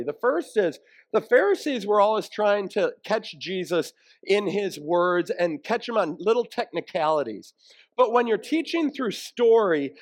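A man preaching a sermon as a pastor, explaining things in a plain-spoken, conversational way. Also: sits high.

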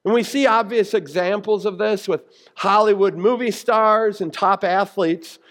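An American man preaching a sermon in an earnest, conversational way, explaining scripture with emphatic phrasing. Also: sits high. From United States. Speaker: pastor preaching.

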